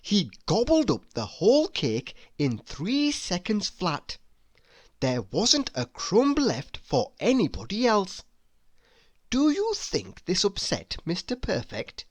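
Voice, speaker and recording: A woman narrating a children's storybook read-aloud in an expressive, deliberate storytelling manner. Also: mid-range voice, no room sound.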